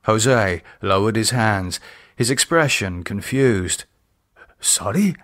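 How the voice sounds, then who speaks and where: Guy reading his horror audiobook, medium pitch, dramatic deliberate storytelling. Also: animated, fairly bright tone. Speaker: author narrating his own novel. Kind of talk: scripted horror audiobook narration.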